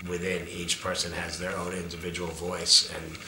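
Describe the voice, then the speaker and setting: A man with a deep, gravelly voice, answering interview questions in a casual, rambling way from a couch backstage. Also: completely flat delivery, moderate pace, echoey room, background noise. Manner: rambling, thoughtful, many restarts. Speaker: band member. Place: backstage green room, on a couch.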